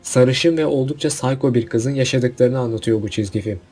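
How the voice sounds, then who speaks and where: A man's voice: medium pitch, brisk, mellow, soft background music. A man hosting a casual list video, giving opinionated commentary with jokey asides and rhetorical questions. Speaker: video host.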